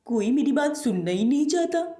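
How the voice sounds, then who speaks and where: Woman voicing a brash, chatty cartoon parrot, mellow tone. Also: high voice, animated, normal speed, reverberant. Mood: indignant. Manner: folksy, cheeky exclamations and buddy-like address.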